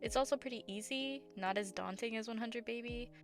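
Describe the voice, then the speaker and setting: Hoarse, gravelly woman. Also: fairly high pitch, brisk. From Southern United States. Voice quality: scratchy, gravelly voice. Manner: rambling, filler-heavy, self-correcting. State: hoarse after bronchitis. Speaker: game builder and channel host. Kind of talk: casual voice-over commentary over gameplay. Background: background music.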